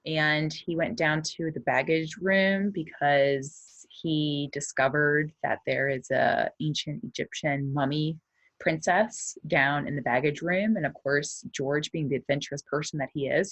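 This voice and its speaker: A woman's voice: low voice, fairly even intonation, a little slow, fairly bright tone, dry recording, low-quality recording. A woman schoolteacher explaining and reading a children's story aloud in simple, explanatory language for her pupils. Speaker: elementary school teacher.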